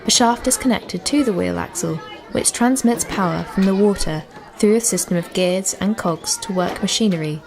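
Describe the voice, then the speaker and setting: A woman's voice: medium pitch, moderate pace, vocal fry, darkish tone. Woman guide over busy background ambience. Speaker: guide narrator. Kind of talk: scripted informational narration. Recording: noisy ambience with crowd sounds.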